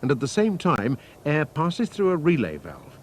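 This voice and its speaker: A man reading scripted technical instructions as a training film narrator, in a measured, methodical, formal manner. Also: fairly high pitch, wildly swooping pitch. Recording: faint noise, archival training film soundtrack.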